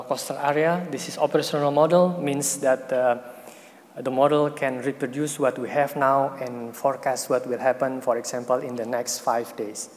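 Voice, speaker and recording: Hesitant man presenting in a hall. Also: mid-range voice. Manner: hesitant, many fillers and restarts. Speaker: presenting researcher. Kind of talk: conference presentation and Q&A. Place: conference hall. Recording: hall reverb, faint noise.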